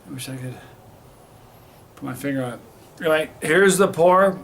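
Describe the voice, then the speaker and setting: A rambling, chatty man from Vermont talks through a hot sauce review, restarting his sentences often. His voice sits a little higher than most men's. The recording carries a clear hiss of background noise. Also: normal speed, a bit bright. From Vermont, USA. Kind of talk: casual review vlog.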